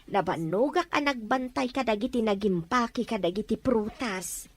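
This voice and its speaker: Woman playing a soft-spoken, worried older mother. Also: a little high, expressive, moderate pace, slightly rough, dry recording. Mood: tender, worried. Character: gentle, worried mother fussing over her grown daughter. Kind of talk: Ilocano audio drama acting.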